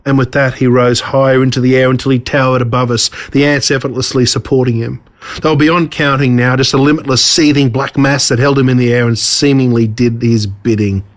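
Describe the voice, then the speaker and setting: A man's voice: medium pitch, steady tone, fairly quick. Australian man narrating a dramatic story. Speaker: author reading own story.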